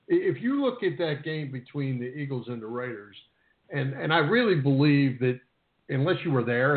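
Male sports talk co-host, mid pitch, halting conversational delivery, narrow phone-quality audio. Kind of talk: casual sports discussion. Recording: roomy, thin phone-like sound.

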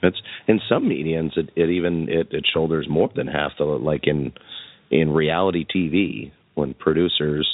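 Very deep-voiced man, phone-like sound. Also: normal speed, no echo. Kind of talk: casual podcast chat. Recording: dry, narrow phone-like sound.

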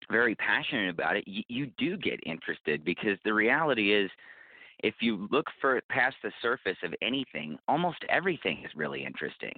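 A man speaking as an interview guest, giving casual advice in an earnest, reflective, explanatory way. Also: lowish voice. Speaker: interview guest, writer and podcaster. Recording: roomy, phone-like narrow band.

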